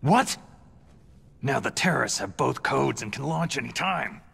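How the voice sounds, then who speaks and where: An actor playing a gruff, terse soldier in a video game, with a hushed, demanding, gravelly delivery. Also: male voice, mid-range voice, expressive, a little slow, exaggerated voice. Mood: surprised, probing. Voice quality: gravelly, husky. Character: gruff, terse male covert soldier, hard-nosed interrogator.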